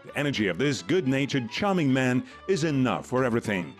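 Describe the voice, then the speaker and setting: A man narrating a documentary voice-over, his pitch rising and falling with animated intonation as he reads. His delivery stays measured and scripted. Soft music plays beneath him. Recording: music playing under the voice.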